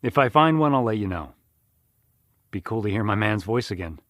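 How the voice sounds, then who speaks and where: A man's voice: on the low side, animated, moderate pace, a bit bright, dry recording, clean studio sound. A man playing a loyal, embittered friend of an accused man, talking casually and plainly as if interviewed. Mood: wistful. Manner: casual, sweary, plain-spoken. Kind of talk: casual interview in an audio drama.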